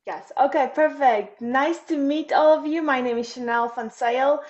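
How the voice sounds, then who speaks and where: A woman's voice: high voice, moderate pace, darkish tone. Upbeat South African woman. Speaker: event speaker. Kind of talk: introducing herself on a video call. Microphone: video call. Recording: dull lo-fi call audio.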